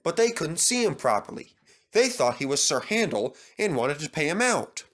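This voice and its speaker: A man reading a children's storybook aloud as narrator. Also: fairly high pitch. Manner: expressive, measured.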